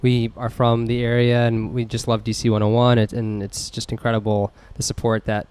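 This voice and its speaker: A man's voice: medium pitch, monotone, a little fast, darkish tone, smooth. A young man from a band, speaking in an upbeat, grateful way. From Silver Spring, Maryland, US. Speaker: band member.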